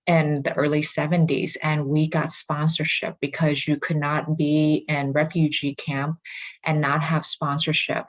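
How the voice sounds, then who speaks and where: A woman in her forties from Vietnam, an interview guest speaking remotely and telling her story in a reflective, earnest way. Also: sits low. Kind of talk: casual interview. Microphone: remote call.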